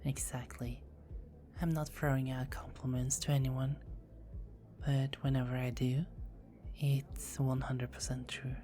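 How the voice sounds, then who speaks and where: A woman's voice: extremely low pitch, level tone, measured pace, mellow, soft background music. Woman whispering as a teasing vampire girl. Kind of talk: ASMR roleplay.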